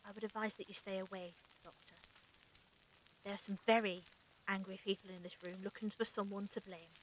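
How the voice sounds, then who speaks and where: A woman's voice: mid-range voice, steady tone, a little fast, a little hiss or hum, natural voice, poor audio. A woman acting a stern, clinical medic with formal, precise speech in an audio drama. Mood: stern, cold.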